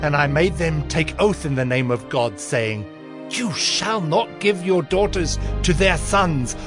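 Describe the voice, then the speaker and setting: An actor playing a stern, zealous governor of ancient Judah, narrating dramatized scripture in an emphatic, declamatory way. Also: male voice, a little high, a little slow, over music, natural voice. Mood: angry, confronting.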